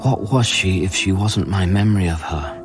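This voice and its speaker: Radio drama lead, male actor: guilt-ridden scientist on a space station, low register, brooding intimate delivery, prominent music bed. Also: normal speed, lightly acted. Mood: grieving, brooding. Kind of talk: radio play acting and first-person narration. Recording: music under the voice.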